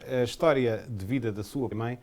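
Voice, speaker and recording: Man, TV interviewer, formal, measured, polite. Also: medium pitch, a loud crowd. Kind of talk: broadcast interview hosting.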